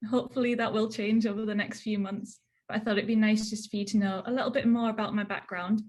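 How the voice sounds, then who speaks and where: A young woman from northeast England with a Northeast English accent, giving a research talk over a video call, chatty and plain-spoken. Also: mid-range voice. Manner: chatty, plain explanations with frequent "so" and "um".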